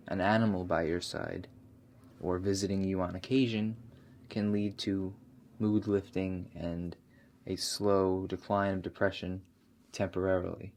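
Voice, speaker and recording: A man with a low voice speaks softly as a doctor in an ASMR roleplay. He puts his questions in an unhurried, reassuring, slightly hesitant way. A light layer of noise sits behind him. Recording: steady audible background noise.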